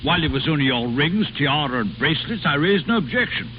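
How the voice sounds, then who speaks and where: An actor playing a pompous, indignant Grand Duke in exile, a blustering uncle in an old radio drama. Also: male voice, fairly high pitch, lively intonation, fast, a little hiss or hum, close to an everyday voice. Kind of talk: 1930s radio drama acting. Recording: dull old radio broadcast.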